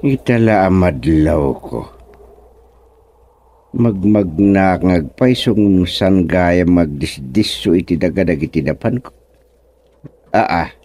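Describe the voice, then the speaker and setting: A man's voice: low voice, slow pace, long pauses, dark tone, no room sound. An actor playing a stubborn, bewildered former village chairman in a comedic radio drama, muttering and exclaiming to himself. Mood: puzzled muttering.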